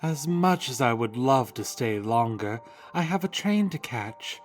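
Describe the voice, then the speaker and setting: Very deep-voiced affectionate mare played by a woman. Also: lively intonation, measured pace, soft background music. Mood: fond, apologetic. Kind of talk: character acting in an audio story.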